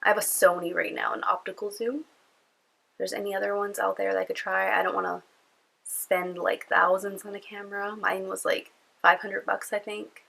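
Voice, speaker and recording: A woman's voice: mid-range voice, flat delivery, normal speed, creaky, a bit bright, poor audio. A young woman with a cold-stuffed, nasal voice, chatting casually to camera from home in a rambling vlog full of fillers. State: congested from a cold. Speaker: beauty vlogger. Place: at home indoors.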